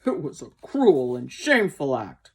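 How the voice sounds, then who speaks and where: An aggrieved old gentleman horse from a storybook, acted out by a woman, with a husky edge. He is gentle at heart but indignant, and his pitch rises and falls sharply as he presses each point dramatically. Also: sing-song, a little slow, full character voice. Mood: bitter.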